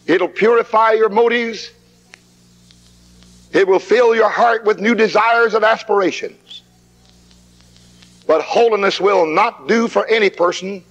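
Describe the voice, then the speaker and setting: A man with a very high, bright voice preaches an old-time camp meeting sermon. He is fervent and emphatic. The sound is an old 1960s tape recording with long hall reverb. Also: highs cut off.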